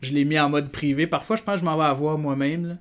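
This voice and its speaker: A man's voice: fairly high pitch, fairly even intonation, fast talker. A man with a Canadian French accent, a guest chatting casually on a podcast, talkative and self-mocking. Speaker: podcast guest, video producer. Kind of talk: casual conversational chat.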